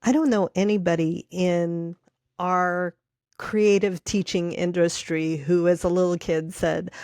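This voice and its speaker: Mellow-voiced woman podcast host. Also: lowish voice, steady tone, unhurried, no echo, studio quality. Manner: encouraging, frequent affirming backchannels. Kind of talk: interview hosting.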